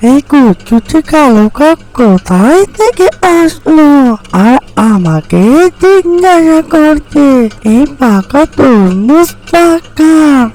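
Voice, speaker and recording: Small female tailorbird, played by a woman for a fairy-tale cartoon: high voice, slow deliberate delivery, indignant but kindly. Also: very animated, warm tone, heavily acted, rough recording.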